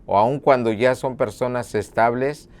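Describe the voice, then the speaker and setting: A middle-aged man from Mexico, a local media director, telling his story in an earnest, measured documentary interview. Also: mid-range voice, darkish tone. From Mexico (Puebla). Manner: earnest, measured, with hesitation fillers.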